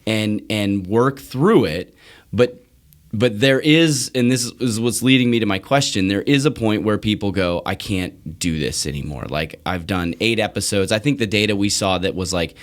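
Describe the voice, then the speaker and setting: A man's voice: fairly low pitch, expressive, moderate pace. A man hosting a podcast, talking in an earnest, informal, conversational interview style. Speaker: podcast host.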